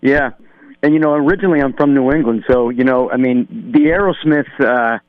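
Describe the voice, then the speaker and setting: A man's voice: mid-range voice, brisk, no echo. Rambling man on a dull phone line. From New Hampshire, USA. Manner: rambling, relaxed storytelling with many fillers. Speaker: musician guest, drummer. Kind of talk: phone-in radio interview. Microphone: telephone.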